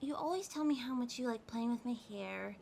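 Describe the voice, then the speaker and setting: A woman voicing a needy, haughty but affectionate young cat girl in a soft, drowsy, pouty ASMR roleplay. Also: a little high, a little fast, creaky, a little hiss or hum. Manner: pouty, teasing, drowsy, speaking softly to a partner. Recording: steady rain sounds behind voice. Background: rain ambience.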